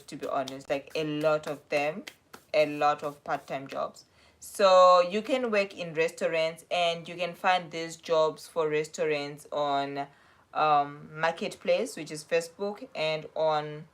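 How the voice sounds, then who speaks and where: A woman's voice: sits low, measured pace. A young woman with a South African accent, chatting casually on a livestream and answering viewer questions in a rambling, self-correcting way. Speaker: livestream host.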